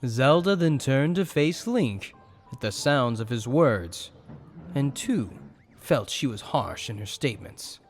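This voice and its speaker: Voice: medium pitch, expressive, takes it slowly, soft background music. A narrator reading a scripted story for an audio drama in storybook style.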